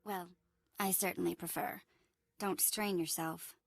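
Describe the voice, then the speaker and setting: An actress playing a sassy, wary young alien companion in a video game, sarcastic and indignant, with a creaky edge. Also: female voice, lowish voice, steady tone, measured pace, no echo, exaggerated voice.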